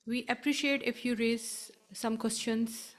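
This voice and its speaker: A woman's voice: fairly high pitch, fairly even intonation, unhurried. A woman hosting an academic conference, formally introducing a speaker and politely inviting questions. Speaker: conference host.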